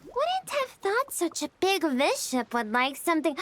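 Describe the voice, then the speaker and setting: Tiny, very high-pitched cheerful creature. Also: female voice, big pitch swings, slow, noisy background, heavily acted. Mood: excited, amazed. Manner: bubbly, childlike, earnest. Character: small cheerful female Melusine creature, excitable gem hunter. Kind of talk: English video game character acting. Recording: game music playing behind the voice.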